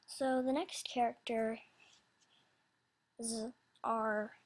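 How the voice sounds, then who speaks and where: Girl rambling casually about her project, slow n halting, kinda husky breathy voice, keeps losing her place. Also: fairly high pitch, steady tone. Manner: halting, scatterbrained, self-interrupting. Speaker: animation creator announcing voice auditions. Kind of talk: casual unscripted rambling announcement.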